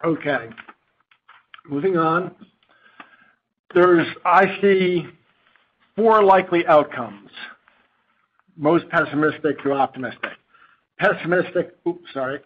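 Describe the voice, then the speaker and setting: A man with a fairly high voice talks informally as a chief executive on an earnings call. He is halting and often wanders off on tangents. The audio is dull and lo-fi. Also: slight background noise. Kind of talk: unscripted earnings call presentation.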